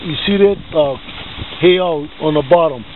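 A man with a Hawaiian local accent talks casually on a ride, like an informal tour guide. His voice is fairly high, and the recording is thin and band-limited with clear vehicle noise. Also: normal speed. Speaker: vlogger. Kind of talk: casual chat while touring by car. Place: inside a car outdoors by the shore. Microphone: handheld camera in the car. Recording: tinny, band-limited phone video with loud car noise.

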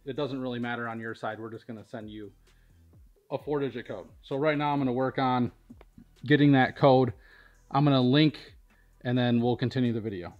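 A man's voice: medium pitch, fairly even intonation, a little fast. Casual man, slightly dull sound over music. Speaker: product demonstrator at a powersports tuning shop. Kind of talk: casual walkthrough tutorial. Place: large indoor vehicle workshop.

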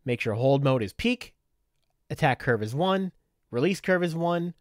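A man's voice: fairly high pitch, animated, unhurried, no room sound. Man narrating a tutorial, dry close sound. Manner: methodical, instructional. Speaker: tutorial presenter. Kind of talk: step-by-step software tutorial narration.

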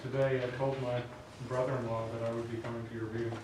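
Brief: male audience questioner, monotone, heard from far across a very echoey room with clear hiss. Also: medium pitch, fast talker. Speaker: audience member asking a question.

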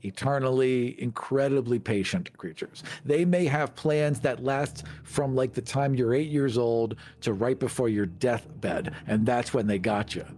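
A man's voice: mid-range voice, a little slow, hardly any pauses, darkish tone, slight background noise. A man co-hosting a podcast in casual discussion, making short, emphatic statements. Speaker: podcast co-host. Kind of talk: casual discussion of fantasy game monsters.

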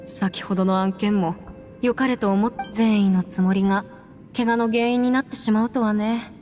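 A woman playing a thoughtful, upright legal adviser in a video game, speaking calmly and formally. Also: medium pitch, moderate pace, long reverb tail, soft background music, close to an everyday voice. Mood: earnest, reflective. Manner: calm, formal, earnest.

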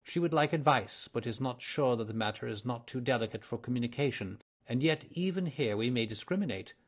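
A man reading the part of a cool, precise detective who explains his deductions in a measured way. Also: mid-range voice, animated, lightly acted. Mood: lecturing. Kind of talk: audiobook character reading.

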